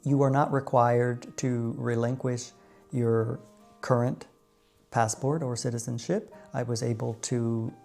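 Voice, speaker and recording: A middle-aged man with an American accent tells his personal story to viewers in a measured, reflective way with deliberate pauses. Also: mid-range voice, steady tone, creaky, dark tone, faint music behind. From United States. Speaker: vlogger.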